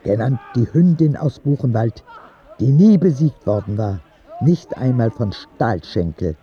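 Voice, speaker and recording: A man's voice: mid-range voice, lively intonation, a little slow, highs cut off, lightly acted. A man playing an earnest, candid ten-year-old sick boy who narrates his letters in a radio drama. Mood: confiding, admiring.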